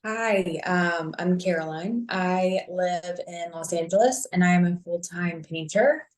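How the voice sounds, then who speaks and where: A woman giving a friendly, measured introduction of herself. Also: lowish voice. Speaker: guest, painter. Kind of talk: self-introduction.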